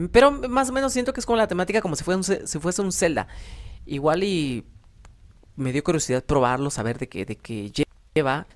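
A young adult man with a Mexican accent giving casual, self-deprecating gameplay commentary, rambling and thinking aloud. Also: medium pitch. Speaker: gaming YouTuber, host. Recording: background music under voice. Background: music.

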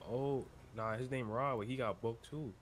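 A man's voice: medium pitch, fairly even intonation, normal speed, a little hiss or hum. A young man doing casual, slangy street roleplay in an online game, often repeating himself. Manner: slangy, repetitive.

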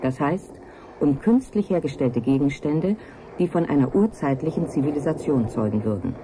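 Low-voiced female news announcer. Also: lively intonation, moderate pace, background noise. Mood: sober, factual. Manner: formal, factual delivery. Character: sober female radio news announcer reading bulletins. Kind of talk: scripted news reading.

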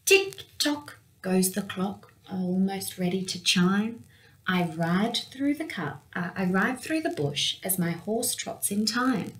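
A young woman reading a picture book aloud for children in an expressive storytelling manner. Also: mid-range voice, takes it slowly, rough recording. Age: young adult. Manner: expressive, with acted-out sound words. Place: small indoor room with window blinds.

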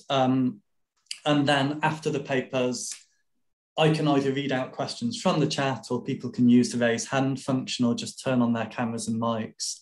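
Man chairing from an echoey room. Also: medium pitch. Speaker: seminar host. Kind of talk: informal chairing of online seminar. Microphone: video call. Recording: echoey room over video call.

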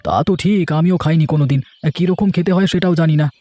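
A man's voice: fairly high pitch, fast, mellow, faint music behind, lightly acted. A man playing an eager, humble young helper at a village eatery in a cartoon, speaking deferentially. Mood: eager, friendly. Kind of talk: cartoon voice acting.

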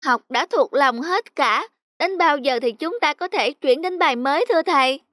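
A woman's voice: high-pitched, lively intonation, normal speed, bright, forceful tone, dry recording. A woman voicing a restless young schoolboy in a cartoon folktale, childlike and complaining. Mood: respectful complaint.

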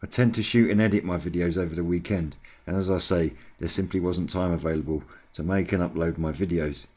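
Deep-voiced British man, hobby channel host. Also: fairly even intonation, a little fast, a little mellow. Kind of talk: scripted voice-over update.

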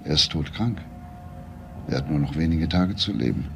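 A man playing a courteous, probing homicide detective in a crime drama, speaking in a measured, polite way. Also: very deep voice, animated, gravelly, over music, full character voice. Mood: calm, measured. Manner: measured, polite, hesitant at times. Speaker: actor. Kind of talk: German TV crime drama dialogue.

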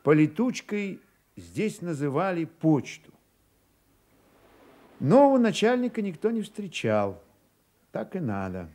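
A man narrating a literary radio play in an expressive, theatrical storytelling manner. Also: a little high, slow pace, pauses often.

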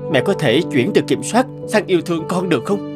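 Man acting earnest, lightly high-voiced stifled cartoon son. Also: animated, a little fast, loud music behind the voice, natural voice. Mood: frustrated. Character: dutiful, stifled young adult son longing for freedom. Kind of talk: animated moral tale dialogue.

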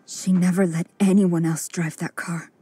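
An actress playing a loyal, vengeful young woman with a breathy, husky voice in a dramatic video game. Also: on the low side, flat delivery, moderate pace, mellow, no echo. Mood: grieving.

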